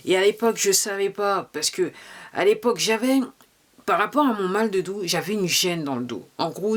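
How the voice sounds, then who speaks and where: A woman in her fifties talking casually to camera about her shoes. She rambles, restarts often and fills gaps with "euh" and "voilà". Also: lowish voice. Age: 50s. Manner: rambling, many restarts and fillers. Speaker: vlogger.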